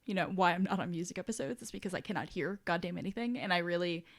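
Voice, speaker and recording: A woman's voice: fairly low pitch, animated, fast, no echo, studio quality. A woman co-hosting a podcast in casual discussion, talking in a rambling, self-deprecating way. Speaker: podcast co-host.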